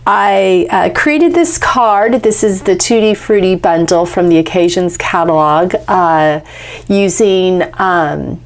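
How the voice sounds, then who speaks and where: A woman's voice: mid-range voice, a little mellow. A young woman with a congested, stuffy nose, chatting casually through a craft tutorial with lots of fillers. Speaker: craft demonstrator.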